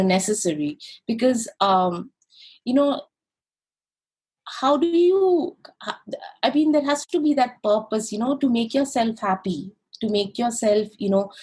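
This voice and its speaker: A woman from India talks as a podcast guest, her voice slightly breathy and husky. She speaks in a lively, reflective way, often pausing on fillers and restarting her sentences. Her pitch sits in an ordinary middle range for a woman. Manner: animated, thoughtful, many fillers and restarts. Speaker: podcast guest, service designer. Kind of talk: casual interview conversation.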